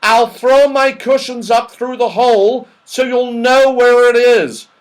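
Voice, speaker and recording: Excitable magical storybook fellow, played by a man: raised, high voice, fretful, urgent exclamations. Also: a little slow, rough recording. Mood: excited.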